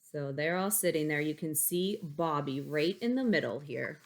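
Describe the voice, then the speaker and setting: A woman teacher reading a picture book aloud to young pupils, expressive, with chatty asides. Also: deep voice, normal speed. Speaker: elementary school teacher.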